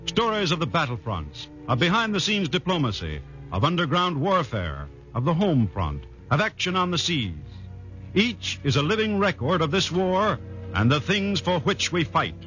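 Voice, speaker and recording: A man's voice: on the high side, big pitch swings, normal speed, fairly bright tone. An American man announcing in a formal, declamatory 1940s radio style. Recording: muffled 1940s radio, music behind.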